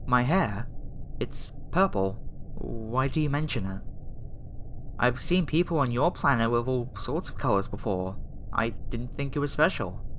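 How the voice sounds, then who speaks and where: A woman's voice: very deep voice, expressive, unhurried. An earnest alien girl researcher, acted by a woman for an ASMR roleplay. She is reassuring but hesitant and easily flustered, tripping over her words. A noticeable ambient noise sits behind the voice. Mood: curious.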